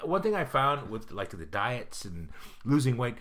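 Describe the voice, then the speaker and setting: A man's voice: medium pitch, big pitch swings, a little slow, fairly bright tone. Joking man in his fifties. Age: 50s. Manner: joking, laughs often, rambling with fillers. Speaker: podcast co-host. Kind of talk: casual chat.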